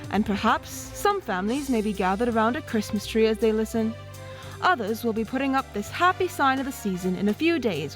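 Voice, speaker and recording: A woman presents a scripted radio play as narrator. Her voice is high and very lively in pitch, formal like an announcer, with faint music underneath.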